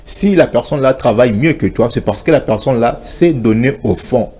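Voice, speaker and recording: A man's voice: mid-range voice, expressive, moderate pace, mellow. A man co-hosting a morning TV show, giving earnest advice in a casual studio chat and slipping into Lingala.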